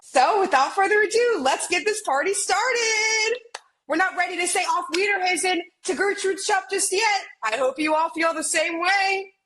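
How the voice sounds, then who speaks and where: An actress playing a determined, hopeful millennial twin sister in a romcom script read. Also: female voice, very high-pitched, moderate pace, fairly bright tone. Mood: rallying.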